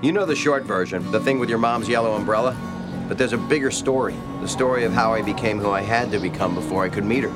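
An actor playing a reflective father telling his past in measured, wry sitcom voice-over. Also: on the low side, loud music behind the voice, natural voice.

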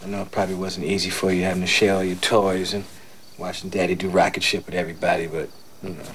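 A man's voice: sits low, level tone, fast talker, noisy background, natural voice. An actor playing a middle-aged father who runs a day care, easygoing and coaxing with the kids in a film scene. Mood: tender. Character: harried but warm dad minding a crowd of kids.

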